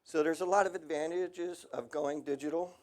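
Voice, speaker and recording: A man's voice: a little high, steady tone, normal speed, a little mellow. Man presenting at a technical seminar. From United States. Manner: casual, conversational, frequent ums and asides. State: voice slightly worn. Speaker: industrial radiography presenter.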